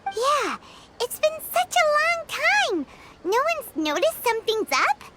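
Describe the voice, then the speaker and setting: A tiny, chirpy fairy with an extremely high, squeaky voice. Her eager, inquisitive delivery swings up and down expressively, and loud music plays behind it. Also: big pitch swings, slow, full character voice. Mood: concerned. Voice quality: squeaky, childlike. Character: tiny, chirpy, excitable female fairy companion. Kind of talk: scripted video game voice acting.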